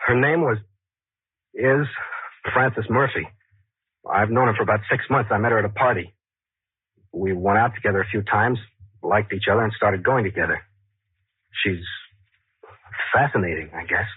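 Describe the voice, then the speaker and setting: A man's voice: fairly low pitch, moderate pace, pauses often, husky, no room sound. An actor playing an evasive, hesitant young man confessing a troubled love affair in 1940s radio style. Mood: uneasy, confessional. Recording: muffled 1940s radio recording.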